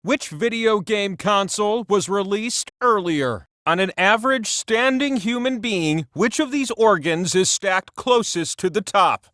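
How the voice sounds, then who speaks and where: A high-pitched, excitable game-show host for a quiz video game. The delivery is theatrical and quirky, leaping up and down in pitch with mock drama. The sound is dry and close. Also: male voice, no room sound.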